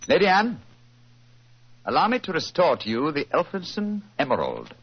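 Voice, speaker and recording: An actor playing a shrewd, unflappable private detective in a precise, formal, theatrical 1940s radio style. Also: male voice, fairly high pitch, wildly swooping pitch, moderate pace, breathy edge, full character voice. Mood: satisfied. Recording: muffled old-time radio recording.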